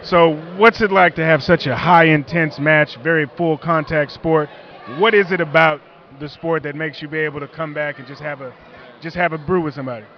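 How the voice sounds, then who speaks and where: A man's voice: on the high side, fairly even intonation, a little fast, few pauses, lo-fi sound, slight background noise. A man interviewing a rugby player outdoors, chatty and joking, with rambling questions.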